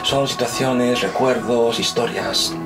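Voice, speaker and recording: A man with a Spanish accent from Spain interpreting live, in halting but effusive phrases. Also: mid-range voice. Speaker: simultaneous interpreter. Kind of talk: live interpreting, voice-over of a video. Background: loud music behind the voice.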